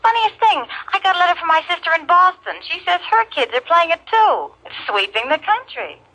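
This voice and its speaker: An actress playing a chatty, breezy housewife gossiping with a friend in 1950s radio acting style. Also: female voice, very high-pitched, very animated, brisk, bright, forceful tone, exaggerated voice, rough recording. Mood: chatty, amused.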